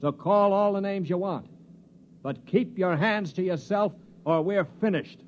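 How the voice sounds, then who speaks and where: An actor playing a blunt, cynical Earthman prisoner who narrates a 1950s science fiction radio play in a sardonic, forceful manner. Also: sits high, measured pace. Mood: sardonic, commanding. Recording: muffled 1950s radio recording.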